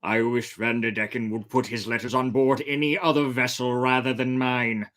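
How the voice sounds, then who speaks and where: A reader voicing a tense, firm male ship captain who speaks in a measured, grave way. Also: mid-range voice, fairly bright tone, natural voice.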